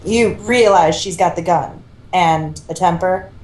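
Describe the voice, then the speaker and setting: A woman's voice: on the low side, a little slow, darkish tone, natural voice. A woman voicing a wry, uneasy male government agent in an amateur fan fiction reading. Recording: roomy, echoing home recording.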